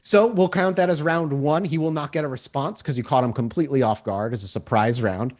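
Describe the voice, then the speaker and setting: A man's voice: mid-range voice, fairly quick, a little mellow. Man narrating as a tabletop game master. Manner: descriptive, conversational, checks in with players. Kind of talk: improvised narration of a tabletop role-playing game.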